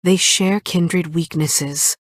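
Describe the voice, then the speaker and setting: An actress playing a loyal, wary royal lieutenant in a video game, with an earnest, serious manner of briefing. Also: female voice, fairly low pitch, steady tone, takes it slowly, no echo, clean studio sound. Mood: wary, troubled. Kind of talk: scripted video game dialogue.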